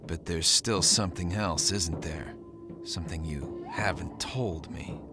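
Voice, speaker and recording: An actor playing a brooding, defiant young war pilot in a video game, terse and hard-boiled. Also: male voice, deep voice, unhurried, over music. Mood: wary. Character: brooding, defiant young man, war pilot seeking revenge on his brother. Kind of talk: video game voice acting, narration and dialogue.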